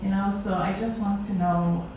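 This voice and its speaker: A woman's voice: medium pitch, fairly even intonation, normal speed. A woman in an audience casually asking a question. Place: indoor meetup event space. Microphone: far camera. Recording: very echoey room, distant voice, noisy background.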